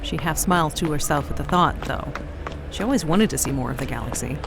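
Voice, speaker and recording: A woman's voice: deep voice, lively intonation, brisk. A woman giving scripted, dramatic narration for a sci-fi audio drama. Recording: music bed behind narration.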